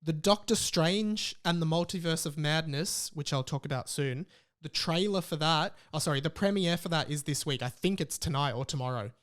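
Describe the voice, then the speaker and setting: A man's voice: a little high, moderate pace, a bit bright. A man with an Australian accent hosting a podcast in casual, informal chat with a mock-aggrieved way of talking. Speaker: podcast host.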